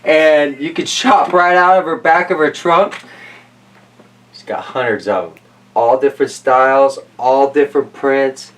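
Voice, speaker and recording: A man's voice: mid-range voice, measured pace, fairly bright tone. A man hosting a casual, chatty read-aloud of picture books, playful and folksy, with rambling asides. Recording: roomy distant mic with slight noise.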